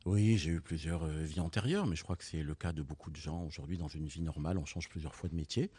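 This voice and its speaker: A man's voice: very deep voice, quick pace, dark tone, husky, no room sound. A man from Roussillon, a winemaker, telling his story in a reflective way as a guest on a radio talk show. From Roussillon, France.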